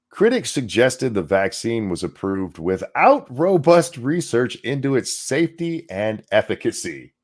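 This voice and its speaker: A man co-hosting a casual political commentary show, reading news aloud and breaking off into sarcastic, profane asides. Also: fairly low pitch. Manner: sarcastic, swears freely, jumps from dry reading to mocking asides. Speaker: co-host.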